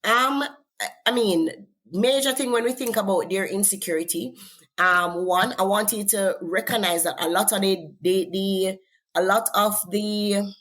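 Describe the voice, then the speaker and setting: A woman's voice: mid-range voice, unhurried, a bit bright. A woman with a Jamaican accent, a guest author giving encouraging, faith-centered advice in an interview. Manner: earnest, encouraging, repeats words when thinking. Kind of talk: faith-based interview talk.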